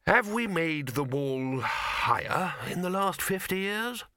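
A man voicing an elderly wizard lecturer in an audiobook, eager, earnest and smugly pleased with his own cleverness, speaking slowly. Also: fairly high pitch, sing-song, bright, forceful tone, no room sound, studio quality, pitched above the performer's own voice. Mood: wistful, puzzled. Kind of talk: audiobook character acting.

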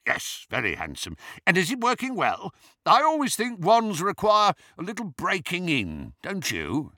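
Guy doing posh-mannered pompous wizard for a story, chatty n genteel, pitch all over the place. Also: wildly swooping pitch, a little slow, bright, forceful tone, no echo, clean studio sound, full character voice. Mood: placid, affable. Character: pompous male wizard, affable and dreamily chatty.

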